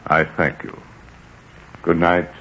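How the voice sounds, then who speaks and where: Deep-voiced dignified Roman official. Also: male voice, flat delivery, slow, heavy background noise. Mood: courtly. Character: smooth-tongued, dignified Roman official and royal guest. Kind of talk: 1940s radio drama acting. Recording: dull 1940s radio recording.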